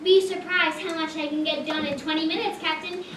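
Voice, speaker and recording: Woman as breezy, very high-voiced slacker student puppet. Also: fairly even intonation, brisk, a bit bright. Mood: peppy. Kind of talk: community puppet show acting. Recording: echoey hall, far mic, clear noise.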